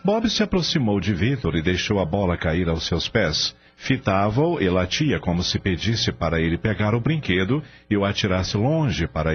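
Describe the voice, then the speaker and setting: A man narrating a scripted radio drama as an expressive storyteller. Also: lowish voice, breathy edge. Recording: dull lo-fi audio, faint music.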